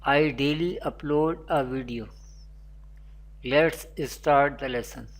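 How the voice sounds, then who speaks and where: A Quran teacher, giving an online lesson in a slow, repetitive teaching manner, with Arabic recitation. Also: mid-range voice.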